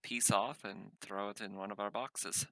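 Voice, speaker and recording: A man's voice: lowish voice, steady tone, normal speed, pushed and bright, dry recording, rough recording. A man chatting casually as a player in a tabletop roleplaying game.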